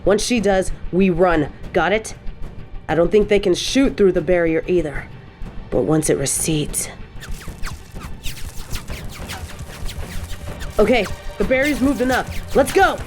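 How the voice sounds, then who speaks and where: A woman's voice: mid-range voice, expressive, normal speed, soft background music, voice pushed up, close to an everyday voice. A woman playing an earnest, protective, foul-mouthed female agent in a dramatic audio roleplay. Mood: urgent. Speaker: field agent.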